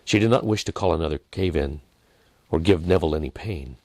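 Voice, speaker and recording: A man reading a dramatic story in a measured manner, with deliberate pauses and softened phrases. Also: low-pitched, no echo. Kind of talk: dramatic scripted narration.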